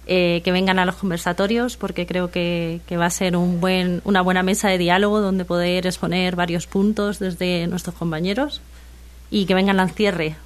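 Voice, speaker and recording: Spanish woman storyteller, deliberate, pauses to find words. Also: lowish voice. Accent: Spanish from Spain. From Spain. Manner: measured, hesitant, searching for words. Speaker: storyteller guest.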